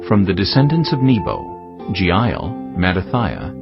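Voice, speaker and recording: A man narrating scripture from a script in a measured, solemn way, as in a dramatized audiobook. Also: deep voice, wildly swooping pitch. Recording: dull lo-fi audio, music behind. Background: clear background music.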